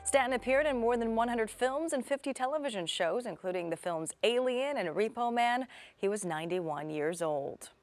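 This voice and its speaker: A woman's voice: a little high, normal speed, faint music behind. A woman with an American accent reading scripted television news as an anchor. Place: TV studio.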